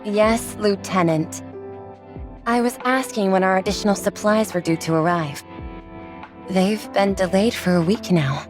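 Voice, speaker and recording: A woman playing a calm, easygoing young squadmate in a video game, with a gentle, reassuring manner. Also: medium pitch, measured pace, music in the background, natural voice. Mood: polite, practical. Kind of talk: voiced game story dialogue.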